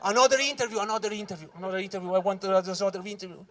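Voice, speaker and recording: A Swiss man from Ticino, an English speaker, doing a loud, animated stand-up set at a corporate event and talking straight to the crowd. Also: sits high, racing, a little hiss or hum. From Switzerland (Ticino). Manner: loud, animated crowd work, keeps saying "guys". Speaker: stand-up comedian. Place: corporate event hall with live audience.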